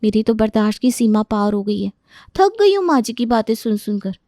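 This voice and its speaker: A woman's voice: a little high, big pitch swings, fairly quick, warm tone, dry recording, clean studio sound. A woman voicing a hot-tempered young daughter-in-law who complains in exasperation in a family story. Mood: exasperated, angry.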